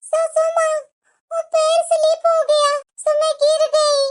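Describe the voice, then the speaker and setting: A woman's voice: extremely high pitch, completely flat delivery, unhurried, pitched above the performer's own voice. A woman voicing a dramatic young daughter-in-law in a cartoon. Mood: whining. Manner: whiny, dramatic.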